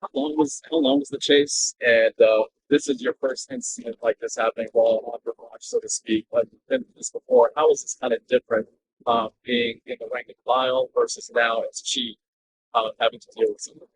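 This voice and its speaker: A man's voice: medium pitch, wildly swooping pitch, a little fast, gravelly, poor audio. A man working as a reporter, asking questions informally at a press conference.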